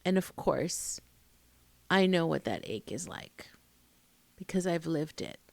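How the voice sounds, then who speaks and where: An American woman in her late forties shares a personal story to camera. Her voice sits on the low side with noticeable fry, and she speaks slowly and expressively, pausing for emphasis. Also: animated, no room sound. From Queens, New York, United States. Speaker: vlogger, emotional intimacy coach.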